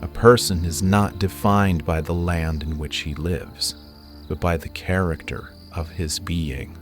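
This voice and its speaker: A man plays a resolute wandering mystic warrior in an audio drama. His voice is very low and grave, and he weighs each phrase slowly, like philosophy. Faint music sits underneath. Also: fairly even intonation. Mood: solemn. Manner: measured, philosophical, grave delivery.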